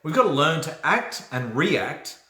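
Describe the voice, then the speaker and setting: A man's voice: fairly high pitch, very animated, unhurried, bright, forceful tone. A man with an Australian accent hosting a casual motivational livestream, chatty and often checking in with "right?". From Australia, born in New Zealand. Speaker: host of a personal development livestream. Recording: roomy, echoey room sound.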